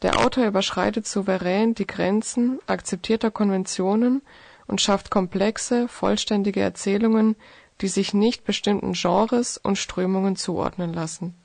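Female radio co-host, medium pitch with noticeable vocal fry, scripted presentation. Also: steady tone, moderate pace, darkish tone, no echo.

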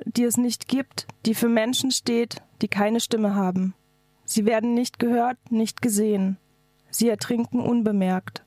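A woman's voice: on the high side, a little mellow, dry recording. A woman activist reading out a scripted political speech. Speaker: activist speaker.

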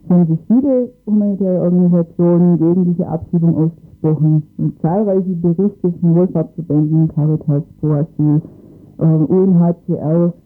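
A man's voice: on the high side, phone-quality sound. A man explaining matters in a radio interview, hesitantly searching for his words. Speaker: interviewed expert on asylum cases.

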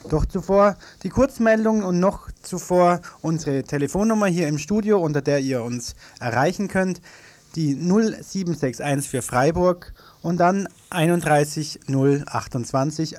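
A man's voice: on the high side, darkish tone. Man reading local radio news. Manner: measured reading with occasional slips and self-corrections. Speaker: news presenter. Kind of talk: read community radio news bulletin. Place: radio studio.

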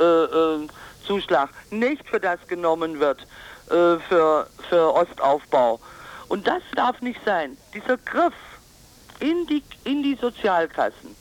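Emphatic woman with very lively intonation. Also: fairly low pitch, rough recording. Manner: emphatic, insistent, halting. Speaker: pensioners' advocacy group board member, interviewee. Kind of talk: political radio interview.

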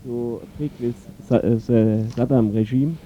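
A man giving a halting spoken translation of questions as an interpreter. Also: mid-range voice, steady tone, mellow, highs cut off.

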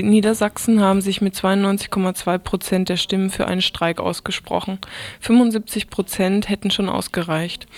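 A woman's voice: medium pitch, a little mellow, no room sound. A woman presenting radio news, reading from a script.

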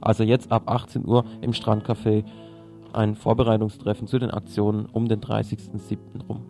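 A man's voice: on the low side, monotone, moderate pace, gravelly, music in the background. A man presenting radio news, reading from a script and now and then stumbling over a word.

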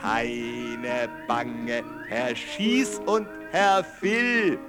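A man's voice: mid-range voice, extremely slow, over music. A man singing a satirical political folk ballad in a mocking, theatrical way, over musical accompaniment. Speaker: protest folk singer. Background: musical instrument.

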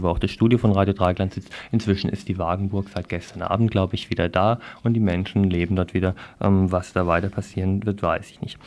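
Male news moderator, lowish and very even, informal live delivery with hesitations. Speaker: radio news presenter.

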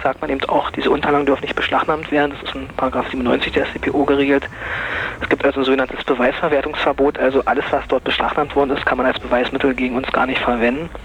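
Man, interviewee, fast delivery, fry in the voice, formal explanatory wording, matter-of-fact. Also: mid-range voice, flat delivery, a bit bright, slight background noise, rough recording. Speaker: interviewee from a drug-checking programme. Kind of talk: explaining a legal position in an interview.

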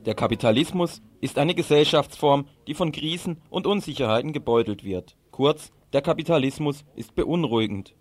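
A man's voice: a little high, expressive, moderate pace, few pauses, faint music behind. A man reading scripted radio commentary and putting questions as a presenter and interviewer.

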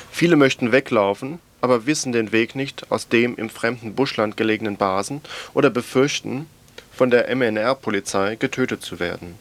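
A man presenting radio news, reading scripted political reports and programme notes aloud. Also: mid-range voice.

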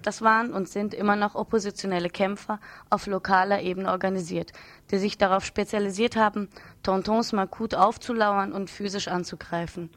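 A woman's voice: mid-range voice, fairly even intonation, fairly quick. A woman newsreader delivering a scripted radio news report.

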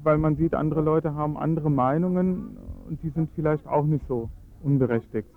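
Give me a man, German his mother tongue, explaining earnestly with "äh"s, on a thin, tinny recording. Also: on the high side. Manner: earnest, measured explaining with frequent hesitations. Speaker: Esperanto advocate. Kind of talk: radio interview.

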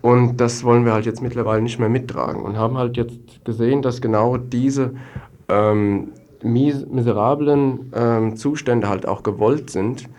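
A man's voice: mid-range voice, fairly even intonation, normal speed, a little mellow. A young man giving spontaneous interview answers as a student activist, hesitating and drawing out his phrases. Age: young adult.

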